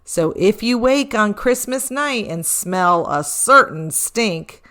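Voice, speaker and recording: A woman reading a rhyming children's story expressively, with a measured, singsong rhythm. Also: mid-range voice, expressive, pushed and bright.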